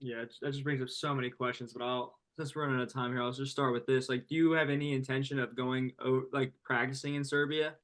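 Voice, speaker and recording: A man with an ordinary middle-pitched voice hosts a casual podcast chat. He responds briefly, then follows up with easygoing questions. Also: flat delivery, quick pace, hardly any pauses, fairly bright tone. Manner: conversational, leading with questions. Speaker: podcast host.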